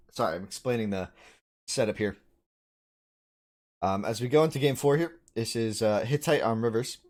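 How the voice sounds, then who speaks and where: A man hosts a live game stream with an ordinary mid-pitched voice. He commentates casually, addressing his viewers like buddies. His delivery is informal and conversational. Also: moderate pace, long pauses, a little mellow. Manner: chatty, informal, addresses chat as dude and buddy.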